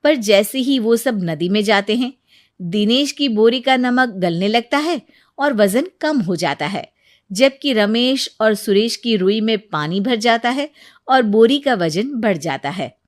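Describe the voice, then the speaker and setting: A woman's voice: on the high side, animated, measured pace, dry recording, studio quality. A woman narrating a scripted children's moral story as the storyteller.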